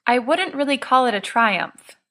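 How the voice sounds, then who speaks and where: A woman's voice: on the high side, lively intonation, normal speed, sharp tone. A woman voicing an earnest, naive teenage boy with a tentative, doubtful manner in an audiobook. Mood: hesitant. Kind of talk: fan fiction audiobook character.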